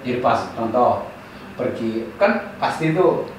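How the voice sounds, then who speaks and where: A man's voice: medium pitch, lively intonation, a little slow, slight background noise. A man from eastern Indonesia hosting a casual group chat, asking questions with lots of fillers and restarts. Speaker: host. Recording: echoey room, mic at a distance.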